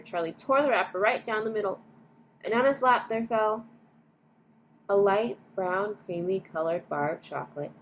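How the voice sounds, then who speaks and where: Woman reading a bedtime story, noisy background. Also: mid-range voice. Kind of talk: bedtime story read aloud. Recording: clear background noise.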